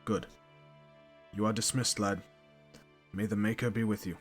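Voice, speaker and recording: A male actor plays a stern but fatherly commander in a fantasy audio drama. His voice is low and his delivery formal and authoritative, with music clearly playing beneath it. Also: steady tone, normal speed, lightly acted. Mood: stern, measured. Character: stern fatherly military commander briefing a young squire. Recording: music under the voice.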